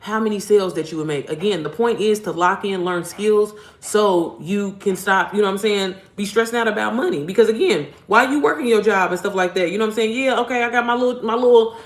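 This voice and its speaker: Fast-talking, deep-voiced woman coaching online. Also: roomy sound. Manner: blunt, profane, slangy, addresses viewers directly. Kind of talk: casual motivational vlog talk.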